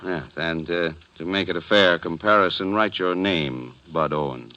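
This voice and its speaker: A man's voice: sits low, pushed and bright, no room sound, muffled recording. A man playing a suspicious, smug, menacing middle-aged stepfather in a 1940s radio drama. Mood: coolly suspicious. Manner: insinuating, deliberate. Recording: band-limited vintage 1940s radio recording.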